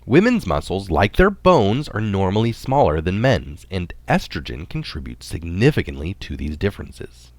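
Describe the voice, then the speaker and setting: Low-voiced man reading, very animated intonation. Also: moderate pace, few pauses, dry recording. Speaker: reader of a health article. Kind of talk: scripted article narration, read aloud.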